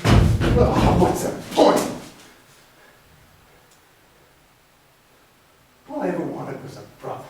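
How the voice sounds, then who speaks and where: A man's voice: high-pitched, very animated, moderate pace, a little mellow, a little hiss or hum. A man acting in a stage play as a wounded, defiant grown son standing up to his bullying brother. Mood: hurt, resigned. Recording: very echoey hall, distant mic.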